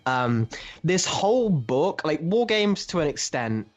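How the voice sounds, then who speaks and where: A man's voice: fairly high pitch, sing-song, unhurried, highs cut off. A man co-hosting a podcast, giving emphatic, opinionated, sweary takes in a casual discussion. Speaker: podcast co-host. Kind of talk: casual comic book discussion.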